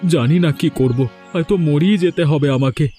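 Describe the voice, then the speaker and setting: A man's voice: fairly high pitch, moderate pace, mellow, natural voice. A man voicing a poor, desperate village fisherman in a cartoon, plaintive and deferential, with a West Bengal Bengali accent. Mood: desperate pleading. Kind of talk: cartoon voice acting. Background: music.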